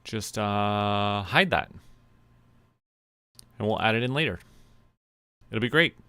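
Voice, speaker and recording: A man's voice: lowish voice, slow, bright, forceful tone, clean tone, no room sound. A man chatting casually on a livestream, rambling to himself as he goes about small tasks. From Canada. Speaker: streamer.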